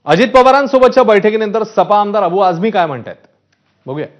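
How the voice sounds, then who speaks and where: A man's voice: sits high, lively intonation, fairly quick. A man from reading scripted TV news in an emphatic, dramatic way. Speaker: news anchor. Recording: dull broadcast audio with music underneath.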